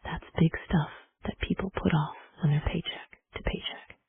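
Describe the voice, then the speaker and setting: A woman's voice: low voice, fairly even intonation, moderate pace, creaky, slightly rough. Whispering woman narrating a romance. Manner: hushed, intimate whisper throughout. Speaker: audiobook narrator. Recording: tinny phone-like recording.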